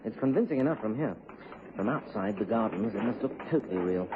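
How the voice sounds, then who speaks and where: A man's voice: on the low side, expressive, brisk, close to an everyday voice. A man playing an earnest, reassuring hospital orderly in a vintage radio drama. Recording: tinny, faintly hissy old radio recording.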